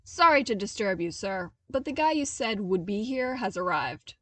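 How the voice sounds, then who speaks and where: A woman's voice: medium pitch, expressive, normal speed, pushed and bright, no room sound, distant mic. A woman voicing a respectful, protective male soldier in a science fiction story, with a formal manner. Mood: dutiful. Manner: formal, addresses superior as sir.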